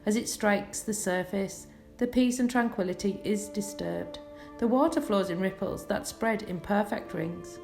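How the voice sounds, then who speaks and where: Soothing woman hypnotherapist with a creaky edge. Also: mid-range voice. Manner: soothing, measured, lulling delivery with pauses. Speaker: clinical hypnotherapist leading a session. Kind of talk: scripted sleep hypnosis guided relaxation. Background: relaxation music.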